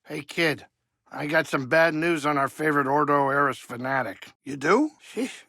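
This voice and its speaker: A creaky, high-voiced gruff alien pilot. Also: male voice, bright, forceful tone, dry recording, studio quality, full character voice. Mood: wry, grudging. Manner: wisecracking, folksy, old-time wise-guy slang. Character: gruff, excitable, soft-hearted older alien pilot turned cantina cook. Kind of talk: video game character dialogue.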